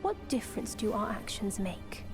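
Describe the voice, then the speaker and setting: A woman playing an enigmatic, teasing mentor in a game, speaking in a measured, cryptic way. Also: mid-range voice, sing-song, music in the background. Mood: cryptic, knowing. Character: enigmatic, teasing female mentor with mystical knowledge. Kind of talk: dramatic game voice acting.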